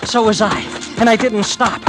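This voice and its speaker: High-voiced, troubled young killer, vintage radio. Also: male voice, animated, normal speed. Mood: agitated, breathless urgency. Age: young adult. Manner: hesitant, earnest, deferential. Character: outwardly polite, troubled young male murderer, confessing his crimes. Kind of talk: 1940s-50s radio drama acting and first-person narration. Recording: old radio recording with music. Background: dramatic music.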